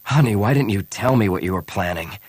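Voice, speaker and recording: A man's voice: on the low side, expressive, a little fast, a little mellow, husky, no room sound. A man voicing a roguish, blunt smuggler in an audiobook, with an emphatic, questioning manner. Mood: dismayed. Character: roguish male smuggler, blunt and easily exasperated.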